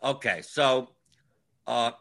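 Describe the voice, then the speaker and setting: A man's voice: mid-range voice, slow, gravelly, a bit bright. A man in his forties hosting a casual livestream chat, wry and grumbling, often repeating himself. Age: 40s. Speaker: livestream host.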